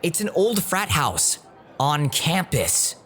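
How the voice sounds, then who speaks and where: Nerdy young dreamer boy, played by a woman, pleading and idealistic, swinging widely in pitch, audio drama. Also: sing-song, slow pace, fairly bright tone, exaggerated voice. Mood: eager, persuading. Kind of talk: scripted audio drama acting.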